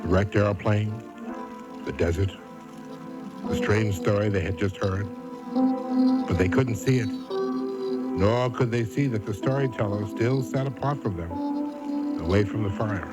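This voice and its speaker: A man narrating a Halloween radio drama as host, solemn, measured and ominous. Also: on the low side, big pitch swings. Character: ominous campfire storytelling narrator of Halloween tale. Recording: muffled old radio with loud music.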